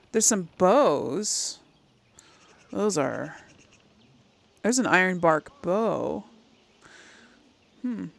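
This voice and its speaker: A woman's voice: fairly low pitch, vocal fry. A woman giving casual commentary as she plays a game, thinking aloud in a musing way. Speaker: gamer, series host.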